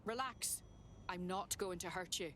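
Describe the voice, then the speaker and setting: An actress playing a bold, sceptical resistance hacker in a video game, speaking in a blunt, wry way. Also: female voice, fairly low pitch, a little slow, fairly bright tone, a little hiss or hum. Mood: reassuring. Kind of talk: dramatic video game acting.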